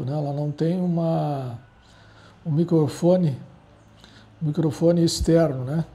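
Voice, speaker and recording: A man's voice: a little high, creaky, warm tone. A man hosting a casual how-to tutorial, rambling with constant filler tags, filmed indoors at a table. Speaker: channel host. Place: indoors at a table, filming objects and a laptop screen.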